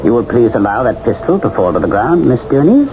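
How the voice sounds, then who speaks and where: An actor playing a suave, sinister man from a shadowy face-changing company, smooth and coldly persuasive, in 1970s radio drama style. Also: mid-range voice, big pitch swings, brisk. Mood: silkily menacing. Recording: muffled old radio broadcast, faint hiss.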